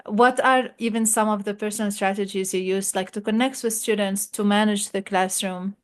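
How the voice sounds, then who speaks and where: A woman's voice: medium pitch, steady tone, moderate pace, clear, resonant tone. A woman interviewing a fellow graduate student, chatty and full of "like" fillers. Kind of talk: casual interview.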